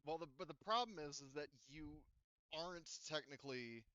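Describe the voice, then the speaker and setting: A man's voice: a little high, moderate pace, sharp tone, clear, resonant tone, no room sound, highs cut off. A man speaking casually as game master of a tabletop session, wry and chiding with his players.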